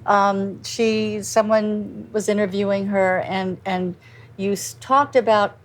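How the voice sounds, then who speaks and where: Older lady hosting a chatty lunch, keeps restarting her sentences, mid pitch. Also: steady tone. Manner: halting, many restarts and fillers. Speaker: host. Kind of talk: casual lunch chat.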